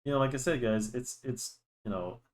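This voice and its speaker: A man's voice: medium pitch, normal speed. A young man chatting casually while he draws, rambling with many restarts and fillers, and he sounds slightly nasal from a cold. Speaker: drawing channel host. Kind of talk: casual chat while drawing. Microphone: desk microphone.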